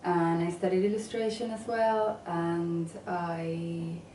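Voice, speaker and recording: A woman with a low, mellow voice answers interview questions in a relaxed, halting way, with lots of ums and restarts. She sounds set back from the microphone in an echoey room with faint background noise. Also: recorded from a distance. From England and Venezuela. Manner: hesitant, with many fillers and restarts. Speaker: guest, graphic designer.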